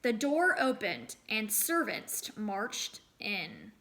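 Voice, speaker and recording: A woman reading a storybook aloud as a teacher, expressive and pausing to address young listeners. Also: medium pitch. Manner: expressive, acts out sound words.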